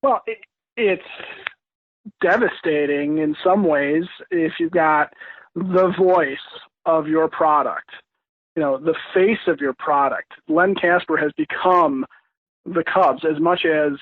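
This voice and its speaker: An American man on a thin phone line, giving his take as a sports podcast guest in a halting, conversational way. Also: fairly high pitch, no room sound. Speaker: podcast guest, former radio program director. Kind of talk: casual sports discussion.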